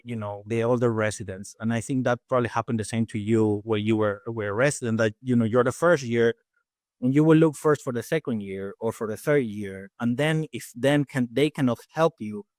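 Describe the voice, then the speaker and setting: A man in his forties from Peru, speaking English with a Peruvian Spanish accent, his native tongue being Spanish. He talks quickly and loosely, full of restarts and "you know" fillers, at a middling pitch. The sound is close and dry, with no room around it. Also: no room sound. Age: 40s. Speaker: guest dentist and faculty. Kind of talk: casual interview chat.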